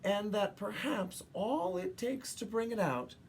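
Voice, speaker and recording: A woman reads a children's picture book aloud in an expressive way, with dramatic pauses and emphasis for young listeners. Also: medium pitch, a little hiss or hum. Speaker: children's story reader.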